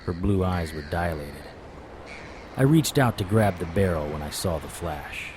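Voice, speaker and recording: An actor playing a weary, wry private investigator in a noir audio drama, terse and deadpan. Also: male voice, deep voice, unhurried, very noisy. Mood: grim, tense.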